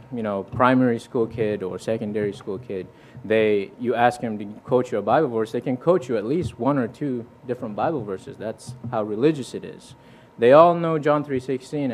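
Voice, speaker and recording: A man's voice: medium pitch, darkish tone, a little hiss or hum. A young man from Fiji preaching in a church, telling his testimony earnestly and conversationally, with restarts and quoted scripture. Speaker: visiting preacher. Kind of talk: sermon with personal testimony.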